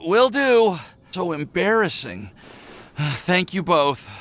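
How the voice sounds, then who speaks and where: A man's voice: sits high, sing-song, slow pace, a bit bright, slight background noise, exaggerated voice. A man voicing a nervous, lovestruck young man in a video game, flustered, stammering and apologetic. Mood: embarrassed. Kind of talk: animated video game voice acting.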